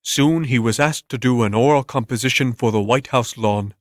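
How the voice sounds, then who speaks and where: A man narrates a scripted story at a medium pitch. The recording is dry, with very little room sound around his voice. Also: moderate pace, dry recording.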